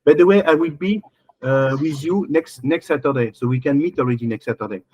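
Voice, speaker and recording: A man's voice: on the high side, a little mellow, dry recording. Man, admissions coach, video call, rambling questions, dry close sound. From France. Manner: rambling, questions fired off in quick repeats. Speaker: MBA admissions coach hosting a webinar. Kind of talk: casual webinar Q&A.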